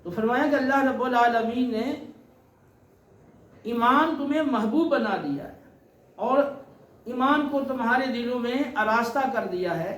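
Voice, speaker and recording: A man preaching an Islamic sermon, explaining scripture in a deliberate, repetitive teaching manner. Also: very high voice, fairly bright tone. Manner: deliberate, explanatory, repeats phrases. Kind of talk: sermon with Quran recitation and explanation. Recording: roomy echo with faint background noise.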